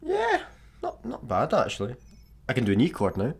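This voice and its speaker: An actor with a Scottish accent playing a talkative, self-effacing young adventurer in a sci-fi comedy radio play. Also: male voice, mid-range voice, wildly swooping pitch, moderate pace, gravelly, faint music behind. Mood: hopeful, awkward. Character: chatty, awkward, hopeful young man adventurer.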